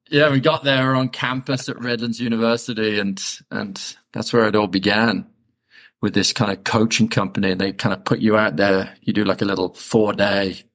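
A man, a podcast guest casually telling stories about his upbringing in a relaxed, chatty, self-deprecating way. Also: lowish voice. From England. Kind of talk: casual conversational storytelling.

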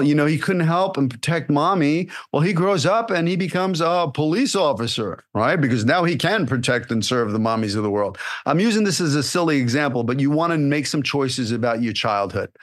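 A man, an acting coach, giving casual solo podcast advice in a blunt, animated way, firing rhetorical questions at the listener. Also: mid-range voice. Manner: casual and blunt, with repeated fillers and restarts.

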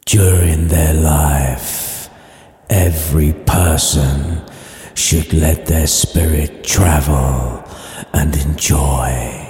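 A man voicing the solemn, burdened ghost of a dead businessman in a story, with spooky, drawn-out warnings. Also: extremely low pitch, warm tone, pitched below the performer's own voice, heavily acted. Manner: slow, grave warnings. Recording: long reverberant hall echo.

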